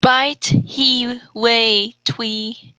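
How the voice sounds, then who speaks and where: A woman reads trashy fiction aloud for comedy at a very slow, deliberate pace. Her voice is bright and a little high, recorded dry and close, and she keeps a deadpan delivery. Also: no room sound, rough recording. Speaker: co-host reading bad fan fiction aloud.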